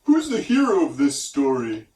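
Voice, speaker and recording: A man's voice: mid-range voice, wildly swooping pitch, moderate pace, mellow, distant mic. A man casually commenting on a story in a slangy, incredulous way. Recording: echoey room, away from the mic.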